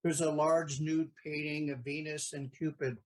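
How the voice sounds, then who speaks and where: A man's voice: on the high side, flat delivery, moderate pace. A man narrating an audio play, reading out scripted stage directions.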